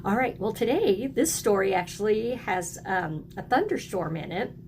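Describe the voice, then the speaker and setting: A woman's voice: medium pitch, lively intonation, moderate pace, noisy background. A woman teacher leads a read-aloud lesson for young children, encouraging them and prompting them with questions and repeats.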